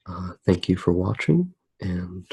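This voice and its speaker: A man speaks very slowly in a mellow, dark tone with plenty of gravelly fry. He is soft-spoken in an ASMR style, hesitating and leaving pauses mid-sentence as he narrates. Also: low voice, big pitch swings, dull audio. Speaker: ASMR art video host.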